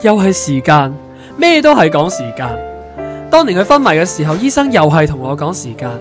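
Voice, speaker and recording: An actor with a Hong Kong Cantonese accent playing a devoted, impatient young boyfriend in a radio drama, earnest and urgent. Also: male voice, on the high side, very animated, brisk, over music. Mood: frustrated.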